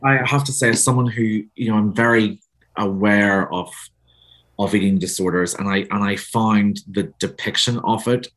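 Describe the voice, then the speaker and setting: A man's voice: on the low side, steady tone, moderate pace, a bit bright, rough recording. A man with a Northern Irish accent, an author talking as a guest in a conversational interview, thoughtful and hesitant with many restarts. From Northern Ireland.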